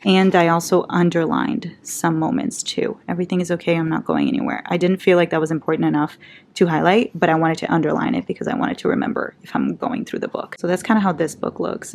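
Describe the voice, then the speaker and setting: Young woman, slightly low, calm chatty book talk, faint background hiss, casual vlog delivery. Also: creaky. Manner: chatty and upbeat, with many "like"s and "kinda"s. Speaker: book vlogger. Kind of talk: casual chat to camera. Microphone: camera mic, speaking from behind the camera.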